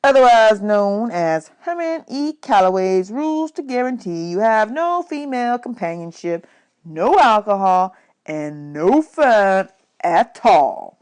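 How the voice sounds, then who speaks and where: A woman's voice: mid-range voice, sing-song, slow pace, darkish tone, smooth. A woman voicing a sardonic, mock-formal male band musician in a storybook.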